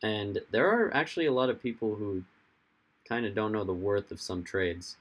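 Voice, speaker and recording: A young man with a hoarse, fading voice, hosting a casual livestream, rambling and answering viewers' chat comments as they come in. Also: lowish voice, moderate pace. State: hoarse, losing his voice. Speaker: livestream host.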